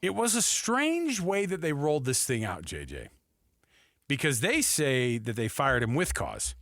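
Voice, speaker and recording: A man's voice: mid-range voice, huge pitch swings, measured pace, fairly bright tone, no room sound. A man hosting a sports radio show, talking casually and repeating phrases for emphasis into a close studio microphone. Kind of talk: casual sports talk commentary.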